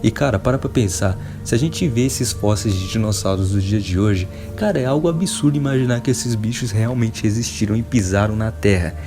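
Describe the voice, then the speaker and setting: Brazilian guy w a lowish voice chatting to viewers like an amazed fan, music clearly going underneath. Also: brisk, few pauses. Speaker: video host. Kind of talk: casual enthusiast video commentary.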